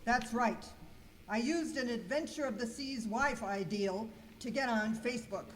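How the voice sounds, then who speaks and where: A woman's voice: a little high, a little slow, natural voice. A woman playing a confident, wisecracking cleaning superhero in an amateur comic radio skit, declaiming in mock-heroic style. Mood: triumphant. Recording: clearly noisy live room recording.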